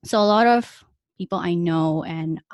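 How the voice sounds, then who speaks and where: A woman's voice: lowish voice, unhurried, a little mellow, dry recording, muffled recording. Chatty young woman from Malaysia. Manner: chatty, many fillers and small laughs. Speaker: interviewee. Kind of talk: casual conversational interview.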